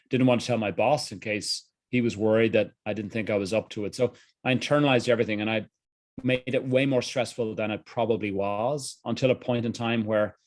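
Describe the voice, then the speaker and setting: Low-voiced Dublin Irish man. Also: no echo. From Ireland. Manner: candid, earnest, frequent restarts. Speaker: guest, executive coach. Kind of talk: reflective interview answers.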